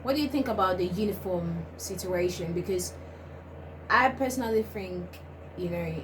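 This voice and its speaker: A woman's voice: on the low side, normal speed. Chatty young woman, noisy recording. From Finland. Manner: animated, many fillers and laughs, addresses viewers. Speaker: vlog host. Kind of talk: casual chat.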